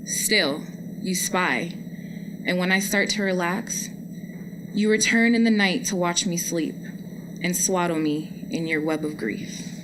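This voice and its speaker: A woman's voice: lowish voice, slow. A young adult woman reading poetry aloud at a live event. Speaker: poetry reader. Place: indoor public lecture event. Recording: noisy live room recording.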